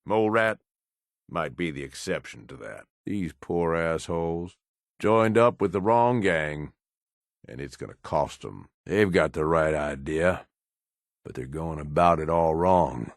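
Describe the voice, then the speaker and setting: A gruff middle-aged American raider, very low in pitch and recorded bone-dry. He talks bluntly in folksy, world-weary phrases, as a cynical video game companion. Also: lively intonation, a little slow, no echo, heavily acted. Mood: disgusted, wry. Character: gruff, cynical middle-aged raider, plain-spoken and loyal to his boss.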